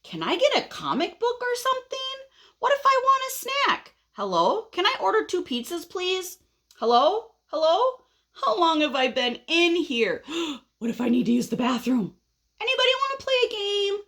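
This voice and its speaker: A woman voicing a whiny, clueless and excitable young male caterpillar from a storybook, pestering with eager questions. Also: very high voice, normal speed, pitched above the performer's own voice, full character voice. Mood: whiny, restless. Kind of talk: animated storybook read-aloud.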